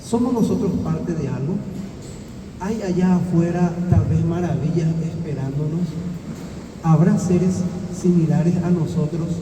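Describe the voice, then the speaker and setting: A man's voice: sits high, warm tone, a little hiss or hum. A man from Paraguay giving an encouraging talk to students in a large hall, asking rhetorical questions. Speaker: scientist speaking at a science festival. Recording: echoey hall from far off.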